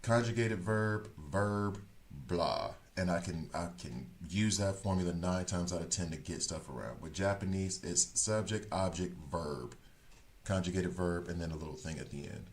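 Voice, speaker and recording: A man with a notably low voice chats casually on a game stream. He rambles, restarts his sentences and corrects himself as he works his thoughts out aloud. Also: normal speed. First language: English. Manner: talks things through as he thinks. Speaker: gaming streamer.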